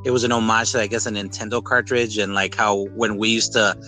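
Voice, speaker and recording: Bright-voiced man chatting over guitar music. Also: fairly low pitch, a little fast. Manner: rambling, animated retellings with acted-out quotes. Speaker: podcast host. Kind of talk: casual chat reviewing a film.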